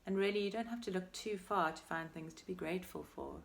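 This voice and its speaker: A woman leading a guided meditation as a teacher, speaking in a gentle, unhurried and soothing way with pauses between phrases. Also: fairly low pitch, gravelly. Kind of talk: guided meditation, body scan.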